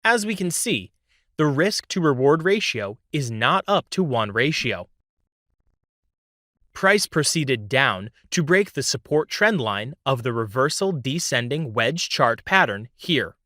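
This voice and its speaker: A man reading a scripted instructional narration in a measured, didactic way as a tutorial voice-over. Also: medium pitch, very animated, sharp tone, no room sound, clean studio sound.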